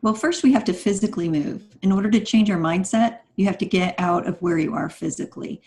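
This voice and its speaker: A woman's voice: mid-range voice, a little fast, darkish tone. A woman speaking as a podcast guest, giving motivational advice in an emphatic, instructive and encouraging way.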